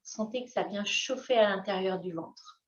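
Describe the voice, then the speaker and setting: Woman yoga teacher talking thru breathing, gentle w long pauses, roomy echo and kinda dull sound. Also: medium pitch. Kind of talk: guided yoga breathing instruction. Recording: echoey room, slightly dull sound.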